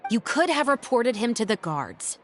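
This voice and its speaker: A woman's voice: fairly high pitch, big pitch swings, normal speed, fairly bright tone, slight background noise. An actress playing a stern young woman officer who speaks in a level, dryly teasing way.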